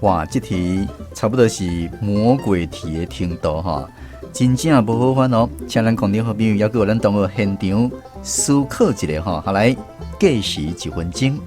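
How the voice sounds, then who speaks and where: A man's voice: on the low side, normal speed, music in the background. A man with a Taiwanese accent hosting a radio language quiz, speaking to listeners and students.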